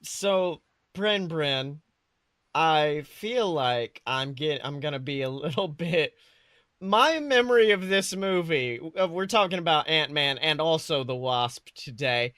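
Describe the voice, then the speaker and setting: Excitable guy with a high bright voice chatting about movies, slangy and sweary, really dry recording. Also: slow, no echo. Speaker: podcast co-host. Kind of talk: casual movie review chat.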